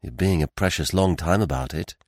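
An eager gentleman companion to a detective played by a male narrator. He speaks bluntly and earnestly, with expressive pitch and some impatience, in a dry, close audiobook recording. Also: moderate pace, no room sound. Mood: impatient.

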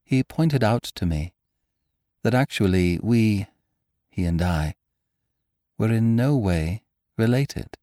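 Man doing a troubled young woman, halting, voice climbing when scared, lively pitch, dry sound. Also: no room sound. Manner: hesitant, trembling, rising to alarm. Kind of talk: audiobook character voice.

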